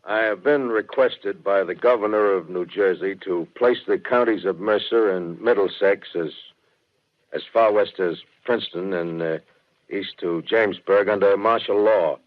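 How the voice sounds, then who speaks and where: An actor playing a stern militia general giving an official statement in 1930s radio drama, stiff, deliberate and slightly halting. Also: male voice, on the low side, natural voice. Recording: thin phone-like vintage radio sound.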